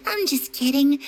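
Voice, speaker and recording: Very high, sweet young girl. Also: fairly bright tone, full character voice, low-quality recording. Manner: earnest, playful, curious. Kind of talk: scripted game dialogue. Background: music.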